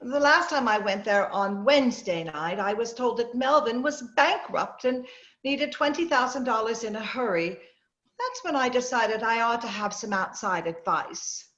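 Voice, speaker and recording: A worried, proper middle-aged lady acted by a woman for a radio mystery. She speaks hesitantly and earnestly in a slightly high voice. The sound is dull and noticeably roomy, like a video call. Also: expressive, measured pace, fairly bright tone. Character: polite, earnest, troubled middle-aged well-to-do wife. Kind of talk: old-time radio drama acting.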